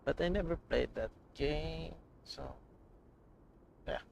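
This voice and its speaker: High-pitched, creaky-voiced male streamer. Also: takes it slowly, a little mellow, lo-fi sound, a little hiss or hum. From Philippines. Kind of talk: casual livestream reaction.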